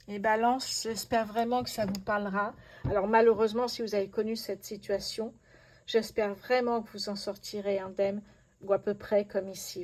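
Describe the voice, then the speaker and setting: A woman's voice: medium pitch, darkish tone. A woman hosting a casual tarot reading, speaking to her viewers in an effusive, awestruck way. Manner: effusive, awestruck, repeats herself in wonder. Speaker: host, tarot card reader.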